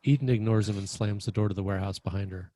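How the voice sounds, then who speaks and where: A man's voice: on the low side, steady tone, quick pace, dark tone, dry recording. A man narrating scripted screenplay stage directions at a table read in a matter-of-fact way.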